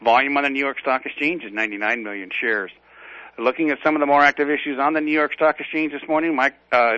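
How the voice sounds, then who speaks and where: A man, a financial advisor, reading a stock market report in a brisk, steady way. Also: mid-range voice, no echo, lo-fi sound.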